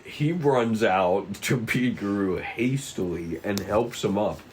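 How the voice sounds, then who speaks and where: A man narrating stage directions at a table read, haltingly and stumbling over the script now and then. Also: on the low side, a little hiss or hum, low-quality recording.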